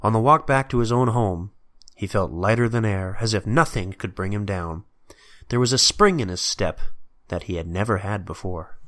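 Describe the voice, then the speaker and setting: A man's voice: fairly low pitch, moderate pace, fairly bright tone. A man reading scripted story narration.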